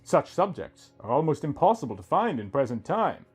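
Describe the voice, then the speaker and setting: A man's voice: fairly high pitch, moderate pace, breathy edge, soft background music, heavily acted. A man voicing a thin grey rock-creature doctor in a fantasy story, cold, detached and clinical. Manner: flat, clinical, impersonal. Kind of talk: storybook character dialogue within narration.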